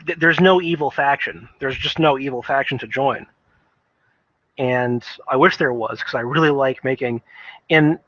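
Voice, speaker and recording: A man's voice: mid-range voice, fairly bright tone, dry recording. Man rambling casually, dull lo-fi sound. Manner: rambling, halting, repeats words while thinking. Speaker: video game reviewer. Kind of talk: casual unscripted opinion commentary.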